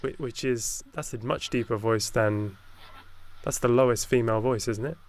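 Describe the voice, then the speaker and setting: A man interviewing in a casual conversation, deep-voiced, soft-spoken and hesitant, often trailing off mid-thought. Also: fairly even intonation, normal speed.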